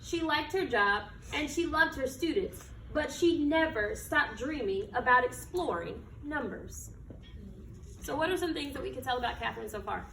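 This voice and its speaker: An American woman reading a picture book aloud to children like a teacher, with expressive, emphatic delivery and questions to her listeners. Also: high-pitched, moderate pace, creaky. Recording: echoey room, distant mic, background noise.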